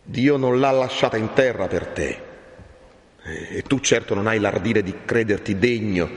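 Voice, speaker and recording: A man's voice: on the low side, unhurried. A man voicing a solemn, severe old friar with grief in him, grave and admonishing like a preacher, in a dramatised novel reading. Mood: stern, grieving. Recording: echoey room with long reverb.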